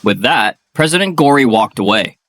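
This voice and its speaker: A man's voice: medium pitch, animated, moderate pace, fairly bright tone, faint music behind. A man giving scripted narration for a manga dub.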